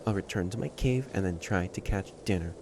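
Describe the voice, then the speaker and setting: Man acting a low-voiced, proud stray catboy. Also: background noise. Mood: flustered, stoic. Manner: tsundere, haughty, defensive, halting. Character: proud, prickly stray catboy hiding loneliness. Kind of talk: emotional audio roleplay addressed to listener.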